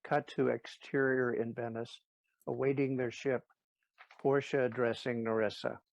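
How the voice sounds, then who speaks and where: A man's voice: mid-range voice, little pitch movement, moderate pace, gravelly, highs cut off. A man reading scripted stage directions as the narrator of an audio play.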